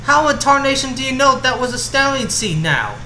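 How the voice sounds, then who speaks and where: A man's voice: very high voice, very animated, brisk, sharp tone, voice pushed up, heavily acted. A man voicing an outraged, bossy big-sister pony from a cartoon fan story, in an echoey room. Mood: shocked outrage. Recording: roomy echo with clear background hum.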